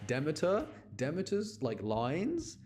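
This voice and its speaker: A man's voice: on the high side, wildly swooping pitch, takes it slowly, music in the background. A man giving casual, enthusiastic gameplay commentary in a rambling, slangy way. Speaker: game streamer.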